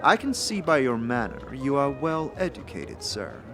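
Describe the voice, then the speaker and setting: A man's voice: medium pitch, wildly swooping pitch, moderate pace, voice pushed up. A man voicing a stern, haughty older noblewoman with formal, imperious speech in a fantasy audio drama. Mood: stern, lecturing. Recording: music under the voice.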